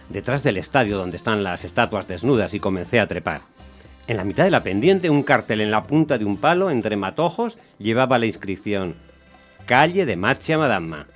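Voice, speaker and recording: An actor playing a hot-tempered, grumbling taxi driver who tells his story in a radio play, animated and exasperated. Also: lowish voice, moderate pace, soft background music. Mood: grumbling narration. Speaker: narrator and lead character. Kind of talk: dramatised first-person radio storytelling.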